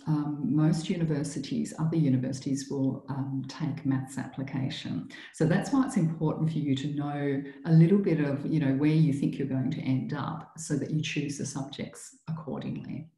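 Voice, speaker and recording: Low, mellow-voiced woman presenting. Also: roomy sound, low-quality recording. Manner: chatty, explanatory, with many ums and restarts. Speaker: school staff presenting to students. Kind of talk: informal presentation to prospective students.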